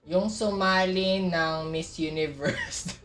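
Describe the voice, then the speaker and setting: A woman's voice: lowish voice, slow pace. A woman with a Filipino accent, chatting casually and playfully as she streams a game, exclaiming and teasing. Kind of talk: casual livestream reactions to a game, mixing languages. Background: game music and character voices.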